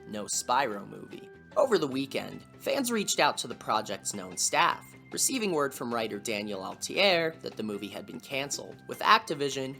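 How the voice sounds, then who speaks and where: Very low-voiced woman narrating, lively delivery. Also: creaky. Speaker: host of a video series on lost media. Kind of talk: scripted video essay narration.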